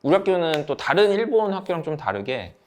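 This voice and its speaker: A man's voice: fairly high pitch, very animated, a little fast, a little mellow. A man in his thirties from South Korea telling personal stories in a casual group chat. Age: 30s. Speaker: channel member sharing study-abroad experience.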